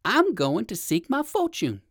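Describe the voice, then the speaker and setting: A woman voicing a polite, curious old gentleman rabbit in a children's storybook, with courteous old-fashioned manners. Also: medium pitch, huge pitch swings, a little slow, dry recording, clean studio sound, voice pushed up, exaggerated voice. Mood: puzzled, polite. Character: polite, eager elderly gentleman rabbit with rheumatism.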